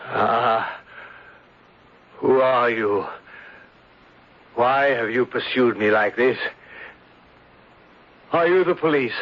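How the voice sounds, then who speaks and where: A haughty murderer in a vintage radio play. His mid-pitched voice is formal and full of disdain, heard through dull, lo-fi sound. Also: slow pace, long pauses. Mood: shaken, indignant. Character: smooth-talking haughty poisoner villain, disdainful under accusation. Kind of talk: old-time radio drama acting.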